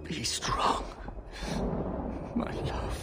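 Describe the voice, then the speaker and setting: Dying man in a fantasy game, slow, strained, breathy, tender, resigned, music behind. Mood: weak pleading. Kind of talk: dramatic game acting. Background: prominent music.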